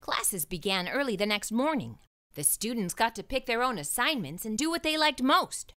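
A woman reading a children's storybook aloud as an expressive narrator. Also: on the high side, moderate pace.

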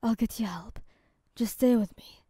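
A woman's voice: a little high, a little slow, warm tone, husky, no echo, clean studio sound. A woman voicing a fierce, protective young man in a story, terse and intense. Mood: pleading.

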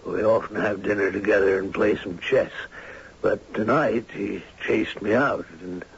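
An actor playing an older widowed doctor, affable, courteous and gently teasing, with a husky voice, in a 1970s radio drama. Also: male voice, lowish voice, fairly even intonation, a little slow, full character voice. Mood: affable, curious. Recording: muffled 1970s radio recording.

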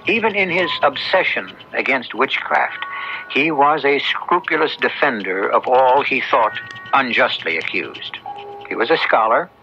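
A man hosting and narrating a radio drama in a measured, literary, solemn scripted style. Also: medium pitch, expressive, vocal fry, a little hiss or hum. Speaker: host and narrator. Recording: dull, band-limited old radio recording. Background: music.

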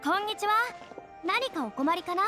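A woman's voice: very high-pitched, animated, normal speed, over music. An actress playing a confident, theatrical young lady who talks in a boyish manner in an anime game. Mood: confident, concerned.

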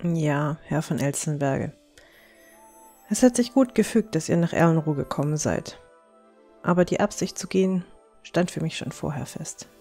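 A woman's voice: sits low, moderate pace, mellow, faint music behind. An actress playing a sincere, caring teenage dwarf girl in a fantasy audio drama, subdued in manner. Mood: reserved.

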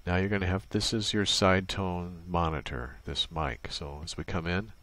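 A man's voice: deep voice, steady tone, normal speed, heavy background noise. A man presenting an unscripted tutorial, explaining a setup casually, step by step. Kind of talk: casual unscripted software walkthrough.

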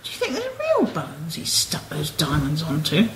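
A woman's voice: low voice, huge pitch swings, normal speed, slight background noise. A woman playing a blunt, sceptical sleuth's sidekick in an amateur radio play, exclaiming. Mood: startled, curious.

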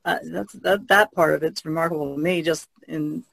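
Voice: medium pitch, steady tone, moderate pace, vocal fry, a bit bright, no echo. A podcast co-host chatting casually in a rambling way. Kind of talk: casual chat.

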